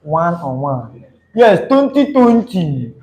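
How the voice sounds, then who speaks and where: A man's voice: a little high, sing-song, takes it slowly, dark tone, slight background noise, poor audio. A young Nigerian man with a Nigerian accent, teaching a chemistry class live, chatty and teasing, slipping into Pidgin. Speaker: chemistry tutor.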